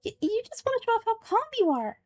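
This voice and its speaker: Little girlish fairy companion, squeaky high, excitable, indignant, fantasy game, background music. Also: quick pace, no echo.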